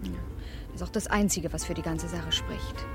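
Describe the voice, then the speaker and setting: An actress playing a worried, outspoken wife in a German radio crime drama, expressive and insistent. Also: female voice, sits low, normal speed, natural voice. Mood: bitter, urging. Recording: vintage radio play over prominent music.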